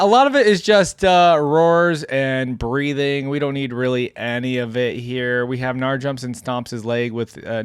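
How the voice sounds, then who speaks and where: A man's voice: mid-range voice, no echo, clean studio sound. A man hosting a game reaction video, talking informally and thinking aloud as he reads along. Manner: informal, thinks aloud while reading out text. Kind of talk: casual reaction commentary.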